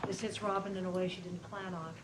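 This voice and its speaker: A woman's voice: lowish voice, fairly even intonation, fast talker. A woman giving scripted narration, reading stage directions at a table read. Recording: noisy, distant mic, live room.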